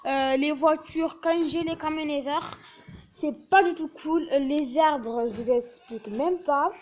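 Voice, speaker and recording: Young girl, high voice, halting home-video chatter, faint hiss. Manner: hesitant, many fillers. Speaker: camera operator. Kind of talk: casual home video chat. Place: small home living room. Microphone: phone camera held by speaker.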